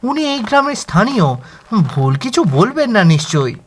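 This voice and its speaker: A man voicing a nervous, hesitant young man from town in an animated horror story. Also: very high voice, fairly quick, slight background noise. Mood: uneasy. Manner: anxious, hesitant.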